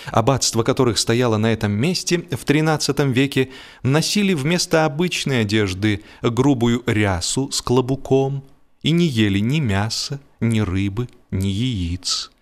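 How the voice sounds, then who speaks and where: A man reading a humorous literary story as an audiobook narrator, expressive and measured, with deliberate pauses. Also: medium pitch.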